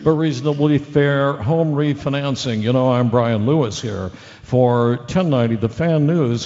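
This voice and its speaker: An actor playing a bumbling, chatty local radio news anchor who reads bulletins in a halting, folksy way with rambling asides. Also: male voice, mid-range voice, a little mellow, long reverb tail, lo-fi sound, natural voice. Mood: chatty, upbeat. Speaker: radio news and weather reader.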